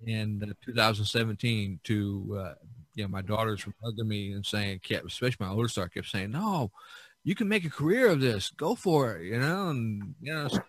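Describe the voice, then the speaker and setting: A man's voice: fairly low pitch, a little fast, dry recording. A middle-aged man telling stories casually as a podcast host, rambling and often repeating himself. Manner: rambling, repeats himself, animated when quoting others. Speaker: podcast host, visual artist. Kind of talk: casual storytelling in conversation.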